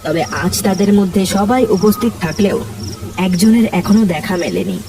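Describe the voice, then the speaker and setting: A narrator telling a spooky animated story in a scripted storytelling style. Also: mid-range voice, moderate pace, distant mic, noisy background.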